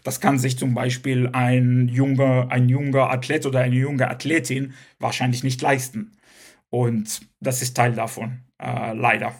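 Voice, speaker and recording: A man's voice: medium pitch, little pitch movement, unhurried. A man, a Hebrew speaker, answering podcast interview questions hesitantly with many fillers and restarts. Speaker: guest.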